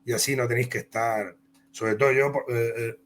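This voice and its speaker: A man's voice: medium pitch, steady tone, brisk, a bit bright, a little hiss or hum. A man from Spain with a Castilian Spanish accent, telling career anecdotes as an interview guest in a rambling, conversational way. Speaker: comic book author and interview guest.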